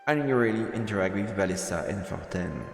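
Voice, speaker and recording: A man's voice: fairly low pitch, expressive, fairly quick, creaky. A man streaming a let's play, playfully commenting and reading game text aloud. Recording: roomy echo with long reverb, game music. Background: game music.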